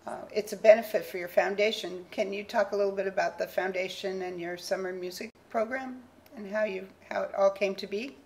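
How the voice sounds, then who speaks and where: A woman with a mid-pitched voice and a gravelly edge, putting measured, polite questions to her guest. She speaks evenly as an interviewer. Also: steady tone, darkish tone, a little hiss or hum.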